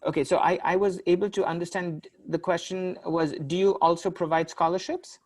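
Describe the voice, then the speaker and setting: A man's voice: sits high, steady tone, fairly quick. A man moderating a webinar over a video call, polite and facilitating, with frequent fillers. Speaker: webinar host.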